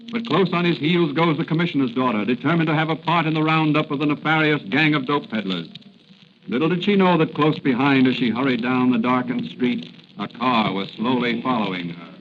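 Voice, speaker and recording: A man's voice: mid-range voice, a little fast, dull audio, a little hiss or hum, natural voice. An American man as a suspenseful, declamatory announcer narrating a 1940s radio serial on an old recording. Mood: dramatic.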